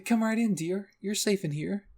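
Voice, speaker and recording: A man's voice: sits high, normal speed, mellow, pitched above the performer's own voice. A man voicing a gleeful, eccentric woman commander and scientist in an anime fan fiction reading, gushing and eager.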